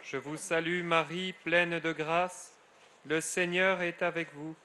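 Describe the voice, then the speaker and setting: A middle-aged friar leading solemn prayer in a measured cadence, his phrases falling away at the end. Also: a little high, little pitch movement, bright, forceful tone, slight background noise. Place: outdoors at a grotto shrine.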